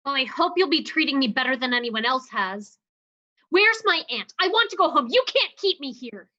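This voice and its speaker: A woman's voice: sits high, lively intonation, moderate pace, bright, forceful tone. An actress playing a defiant, sarcastic young woman held in a mental hospital. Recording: slightly dull lo-fi livestream audio.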